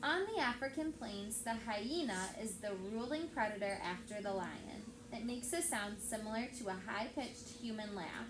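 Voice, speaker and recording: A woman's voice: fairly high pitch, moderate pace, hardly any pauses, natural voice. A woman reading a storybook, voicing a cautious, bookish young boy adventurer in an earnest manner. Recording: echoey room with background noise.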